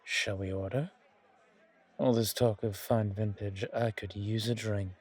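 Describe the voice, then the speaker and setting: Man voicing a slow, husky, courtly vampire gentleman. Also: lowish voice, steady tone. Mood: playful, nostalgic. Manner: genteel, poetic, unhurried, flirtatious. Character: suave, courtly, old-world male vampire charming a stranger. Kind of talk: roleplay audio, ASMR horror.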